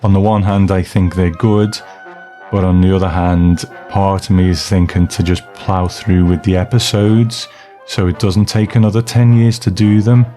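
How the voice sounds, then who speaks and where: Man, low voice, podcast host, casual and rambling, soft guitar music behind. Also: little pitch movement, normal speed. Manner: rambling, self-deprecating. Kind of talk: casual reflective podcast talk, reading listener mail.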